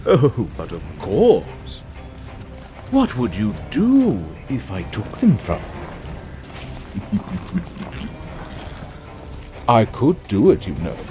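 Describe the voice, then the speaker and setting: A man playing a sardonic, amused villain who narrates an audio drama in a theatrical, mocking, drawn-out way. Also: mid-range voice, huge pitch swings, mellow, faint music behind, heavily acted. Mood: taunting.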